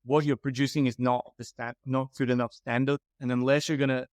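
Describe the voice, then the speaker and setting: A man's voice: mid-range voice, flat delivery, brisk, darkish tone, no room sound, studio quality. A man giving a frank, advising critique of someone's podcast in a casual chat. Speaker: podcast consultant.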